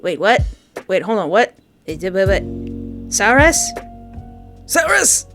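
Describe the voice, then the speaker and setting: Expressive woman, music behind her. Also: mid-range voice, slow pace. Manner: expressive, stammering when excited. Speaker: game developer playing a visual novel. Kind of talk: excitable casual playthrough commentary.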